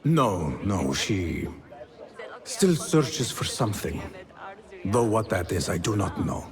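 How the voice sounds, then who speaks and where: An actor playing a purposeful young assassin, wry and observant, in a video game. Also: male voice, lowish voice, very animated, slow, a crowd in the background, exaggerated voice. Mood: pensive, reserved.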